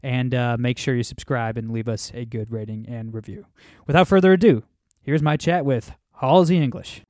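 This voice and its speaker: A man's voice: medium pitch, moderate pace, a little mellow. A man hosting a podcast in a casual, upbeat way, with lots of fillers. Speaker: podcast host.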